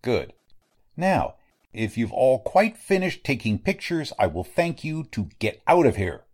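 A man playing a gruff, authoritative veteran coroner with a formal, emphatic manner in a 1940s-style radio drama. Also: medium pitch, very animated, unhurried, a little mellow. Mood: brusque.

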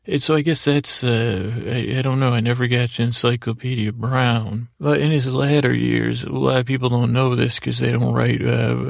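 Gravelly, creaky man rambling a bedtime story. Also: medium pitch, dry recording, studio quality. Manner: meandering, halting, repeats and trails off; mild, friendly, absurdly digressive. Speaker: podcast host telling bedtime stories.

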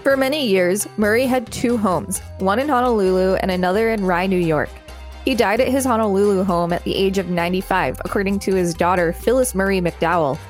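A woman with a medium-pitched voice co-hosts a podcast. She reads from an encyclopedia article and slips into casual conversation, with faint music underneath. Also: fairly quick. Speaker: podcast co-host. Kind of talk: reading an encyclopedia article aloud, with casual banter.

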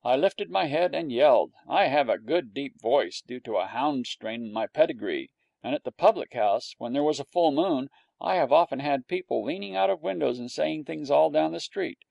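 A man's voice: medium pitch, animated, moderate pace, creaky, darkish tone, dry recording. A man reading a storybook aloud in a wry storytelling manner. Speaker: audiobook narrator.

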